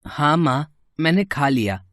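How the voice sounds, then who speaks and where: A man voicing a caring, dutiful young village son in a story, in a gentle manner. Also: fairly high pitch, animated, a little slow, dry recording, studio quality.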